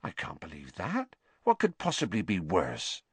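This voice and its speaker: A man's voice: sits low, wildly swooping pitch, normal speed, breathy edge, no room sound, heavily acted. A man voicing a chatty, curious female pub landlady with a familiar, gossipy manner in a storybook. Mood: hushed curiosity.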